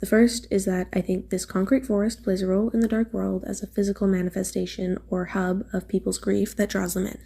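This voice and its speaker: Woman w a really soft dark voice, creaky n husky, reading out her message earnestly. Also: mid-range voice, flat delivery, fairly quick, few pauses. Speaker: listener sending a voice message. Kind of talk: prepared, scripted plea.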